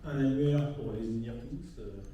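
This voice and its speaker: A man with a very dark, soft-edged timbre gives an informal conference talk. His colloquial delivery is halting and searching. He sounds distant from the microphone, with faint noise around him in an echoey hall. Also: mid-range voice. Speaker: guest speaker, magazine founder. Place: indoor conference room on a campus.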